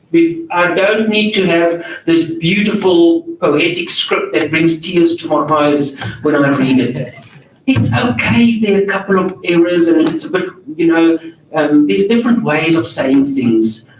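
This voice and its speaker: Echoey, slightly high-voiced man in a hall. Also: animated, measured pace. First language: not English. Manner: candid, self-deprecating, moderating questions. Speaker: journal editor on a panel. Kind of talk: panel discussion answering audience questions. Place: large auditorium. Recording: echoey auditorium, distant mic, faint noise.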